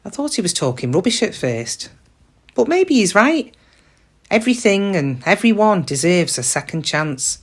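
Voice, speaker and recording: A middle-aged woman playing a downtrodden shop cashier in a reflective, confiding, plain-spoken dramatic monologue about her regrets. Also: fairly low pitch. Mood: reflective, hopeful. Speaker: actor in a monologue. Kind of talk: first-person dramatic monologue.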